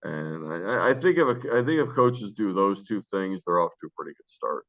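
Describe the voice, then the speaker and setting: A man with a very low, deep voice talks casually about coaching, with many fillers and restarts. His voice comes through thin and narrow, like a phone line. He is loud and carries well. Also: animated, fairly quick. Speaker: high school basketball coach. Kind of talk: casual interview answers. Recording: tinny phone-line sound.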